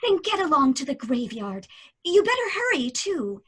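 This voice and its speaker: A serene, insistent lady passenger in an old-time radio play. Her voice is very high and bright, and it lilts widely. She stays eerily unbothered. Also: sing-song, moderate pace. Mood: serenely insistent. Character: calm, eerily cheerful woman passenger. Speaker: cab passenger.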